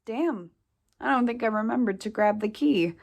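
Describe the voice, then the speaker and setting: A woman's voice: fairly high pitch, animated, moderate pace, gravelly, a little mellow. A woman playing a skeptical companion in an audio play. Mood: mildly exasperated. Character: skeptical companion searching an abandoned house.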